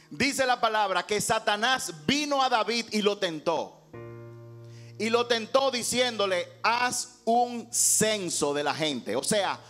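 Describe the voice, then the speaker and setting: Guy pastor preaching a sermon, high voice, emphatic and rhetorical, bit of instrument music in the back. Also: bright, forceful tone. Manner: emphatic, rhetorical, dramatizing dialogue from scripture.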